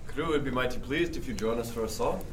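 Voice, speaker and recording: A man's voice: mid-range voice, quick pace, lightly acted. A man playing a genial, loyal sailor with hearty old-fashioned nautical speech in a period audio drama. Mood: cheery, coaxing. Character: genial, loyal male sailor, fond of song. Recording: roomy, distant, faint noise.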